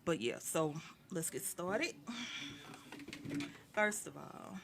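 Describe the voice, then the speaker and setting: A woman's voice: low-pitched, expressive, takes it slowly, vocal fry, background noise. A woman co-hosting a casual podcast, talking frankly and leading the questions. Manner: frank, conversational, leads the questions. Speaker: podcast co-host.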